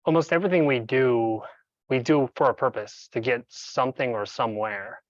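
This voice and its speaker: A man speaking as a meditation coach, narrating a guided session in a measured, instructional way with deliberate pauses. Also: medium pitch, dry recording, dull audio.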